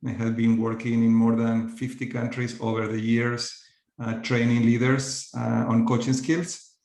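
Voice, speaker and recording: Argentine-accented man, flat steady delivery. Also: mid-range voice, reverberant. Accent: Argentine Spanish. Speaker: coaching program trainer, host. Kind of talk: online program orientation. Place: virtual meeting.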